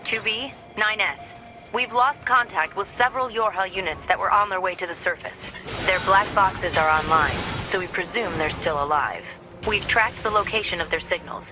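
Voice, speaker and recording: A commanding military woman played by an actress delivers formal orders with stern authority. It is video game acting at a medium pitch, with music clearly behind the voice. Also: normal speed, natural voice. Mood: grave, commanding.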